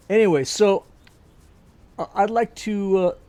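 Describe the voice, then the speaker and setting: Older interviewee, a touch high-voiced, halting and rambling as he reminisces. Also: dark tone. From Turkey. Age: 70s. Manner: hesitant, many fillers and restarts, chuckling. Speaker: interviewee, musician. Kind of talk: casual life-story interview.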